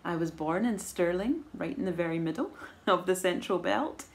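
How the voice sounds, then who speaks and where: A woman in her forties with a soft Edinburgh Scottish accent, chatting to camera at home and answering viewer questions in a thoughtful, measured way with gentle laughs. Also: fairly low pitch, animated. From Scotland. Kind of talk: casual chat to camera. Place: living room at home, indoors. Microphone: camera in front at arm's length.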